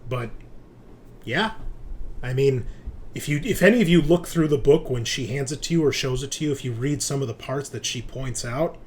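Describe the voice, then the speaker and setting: A man's voice: medium pitch, normal speed. A man narrating a tabletop roleplaying game casually as game master. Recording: clear background noise.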